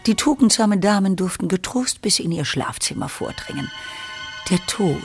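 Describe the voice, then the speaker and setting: Measured radio drama narrator over music. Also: mid-range voice, expressive.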